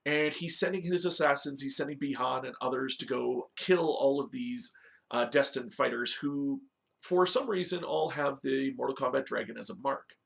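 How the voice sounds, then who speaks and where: A man with a mid-pitched, creaky voice hosts a review in a casual, informal style. He talks conversationally, as if chatting to regular viewers, with a noticeable gravelly fry in his delivery. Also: normal speed, fairly bright tone. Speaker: host of a video game movie review show. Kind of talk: casual review talk with story recap.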